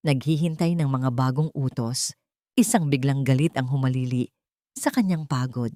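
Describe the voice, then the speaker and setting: Low-voiced woman narrating a drama. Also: warm tone, dry recording, clean studio sound. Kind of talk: dramatic scripted story narration.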